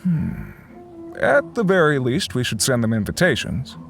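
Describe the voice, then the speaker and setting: A man playing a courteous, dutiful clan attendant in a video game, with a gravelly voice and formal manner. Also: medium pitch, wildly swooping pitch, unhurried, exaggerated voice. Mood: considering. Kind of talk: English video game voice acting. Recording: background music under the voice.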